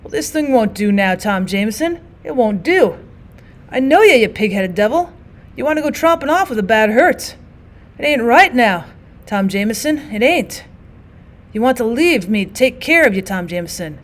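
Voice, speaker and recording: Lady putting on a wounded old-timey soldier, rambling folksy talk, words slurring, a bit higher and louder than her usual read. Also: lively intonation, moderate pace, close to an everyday voice. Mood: pleading.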